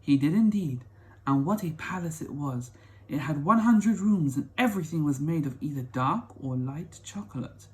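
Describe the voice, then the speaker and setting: A man reading a children's story as an excitable old grandfather, full of wonder, emphatic and savoring each detail. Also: on the high side, normal speed, close to an everyday voice. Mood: awed. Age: older. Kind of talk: children's storybook read-aloud.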